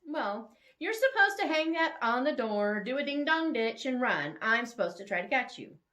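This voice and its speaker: A woman's voice: a little high, big pitch swings, normal speed, sharp tone, pitched above the performer's own voice. A woman voicing a sassy, eager young woman in a romance story, direct and playful. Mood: flustered.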